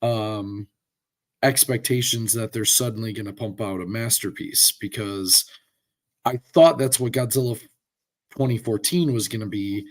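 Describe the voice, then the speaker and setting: A man whose voice is hoarse and rough from a lingering cold. He co-hosts a relaxed podcast chat and rambles through his opinions, circling back with fillers and restarts. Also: lowish voice, unhurried. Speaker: podcast co-host. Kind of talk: casual chat.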